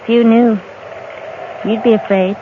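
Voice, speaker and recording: A woman's voice: a little high, fairly even intonation, unhurried, lightly acted. An actress playing a proud, strange, intense young frontier woman with a direct, challenging manner, in an old radio western. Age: young adult. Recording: dull, noisy old radio recording.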